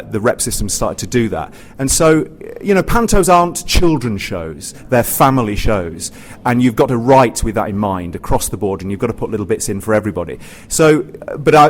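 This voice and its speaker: A man speaking off the cuff as a theatre writer and performer on a discussion panel, circling back and repeating phrases as he explains. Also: lowish voice. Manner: rambling, repeats and restarts phrases, often says "you know". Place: theatre, live panel after a show. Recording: noisy live room recording.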